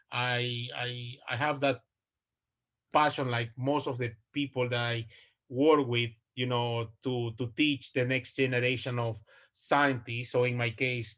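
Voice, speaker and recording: A man's voice: medium pitch, fairly bright tone. Hesitant man presenting. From Ecuador. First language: Spanish. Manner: hesitant, many fillers and restarts. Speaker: university researcher giving a talk. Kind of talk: online presentation. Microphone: video call.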